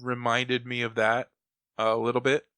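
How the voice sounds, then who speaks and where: A man's voice: mid-range voice, monotone, a little slow, fairly bright tone, smooth, no echo. A man in casual podcast conversation, giving his opinions as a panelist. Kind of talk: casual chat, critique.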